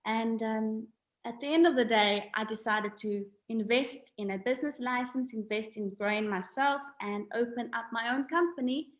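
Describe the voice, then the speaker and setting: A middle-aged woman from South Africa, a guest on a video-call interview, telling her story in an animated, emphatic way. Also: on the high side, moderate pace, roomy sound. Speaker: podcast guest, health coach. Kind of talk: casual interview chat. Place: home room with a mirror.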